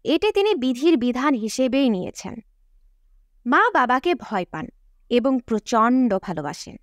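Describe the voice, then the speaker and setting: High-pitched, animated woman narrating. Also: unhurried, dry recording, clean studio sound. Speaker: audiobook narrator. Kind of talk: scripted novel narration.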